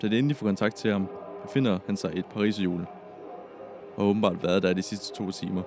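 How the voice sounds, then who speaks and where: A man's voice: on the low side, fairly even intonation. A young man narrates his own story as a scripted podcast. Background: music.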